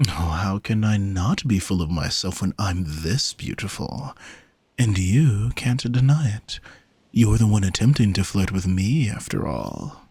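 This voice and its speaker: A man playing an alluring sea monster posing as a vain, flirty gentleman in an audio roleplay, speaking softly and formally in a haughty, teasing way. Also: lowish voice, expressive, unhurried, breathy edge, no echo, full character voice. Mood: smug, flirty. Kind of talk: scripted audio roleplay, spoken to the listener.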